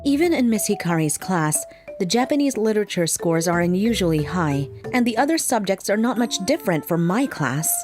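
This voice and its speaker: A calm, perceptive young teacher, voiced by a woman, composed and firm with a lively, expressive pitch. Light music plays behind her in this manga dub. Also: brisk, close to an everyday voice.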